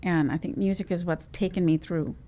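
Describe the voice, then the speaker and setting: Woman, podcast guest, business owner, rambling storyteller, lower voice. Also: fairly even intonation, a little fast, mellow. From Trinidad and Tobago. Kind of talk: conversational interview.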